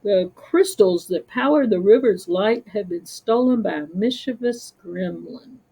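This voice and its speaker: A woman's voice: medium pitch, expressive, a little slow, gravelly, warm tone, husky, full character voice, low-quality recording. A woman voicing a stern, wary, enormous male cave guardian in a children's storybook, giving solemn warnings. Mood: grave. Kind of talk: children's storybook read-aloud.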